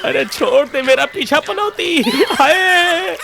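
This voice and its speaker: A man's voice: very high-pitched, slow pace, creaky, full character voice. A man voicing a hapless, panicky village stooge in a cartoon, wailing and yelping frantically. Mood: panicked. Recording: loud music behind.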